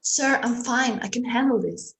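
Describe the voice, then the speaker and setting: A woman's voice: on the high side, moderate pace, creaky, a bit bright. A woman playing a self-reliant, unimpressed neighborhood woman in a screenplay table read. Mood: concerned. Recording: faintly noisy home recording.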